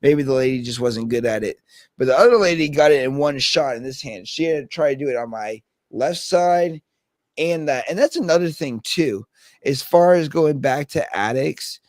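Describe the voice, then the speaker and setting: A man's voice: medium pitch, moderate pace, a little mellow, dry recording. A man in his thirties chatting casually as host, telling stories animatedly with frequent laughs and swearing. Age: 30s. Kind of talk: casual chat.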